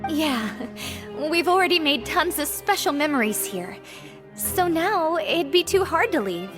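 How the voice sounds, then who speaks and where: A devoted young female prisoner in a video game. She is courteous and earnest, with a high, lively voice over clear background music. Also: a little slow. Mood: hopeful. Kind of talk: dramatic video game voice acting.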